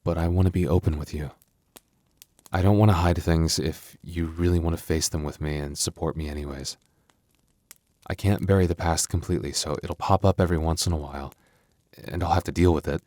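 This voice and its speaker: Man playing a very deep-voiced, gentle werewolf boyfriend. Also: fairly even intonation, fairly quick, dry recording, lightly acted. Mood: tender, devoted. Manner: soft, earnest, hesitant, stammering. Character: gentle young male werewolf boyfriend with regretful past. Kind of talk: intimate ASMR boyfriend roleplay.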